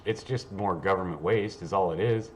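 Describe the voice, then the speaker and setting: A man's voice: sits low, moderate pace, a little hiss or hum, poor audio. A man hosting a livestream, rambling casually and reading news aloud in a blunt, sweary, offhand way. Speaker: livestream host.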